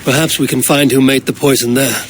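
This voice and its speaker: A man's voice: medium pitch, steady tone, brisk, a little hiss or hum, lightly acted. A man playing a stoic, blunt Viking warrior in a video game cutscene, speaking tersely and directly. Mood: determined.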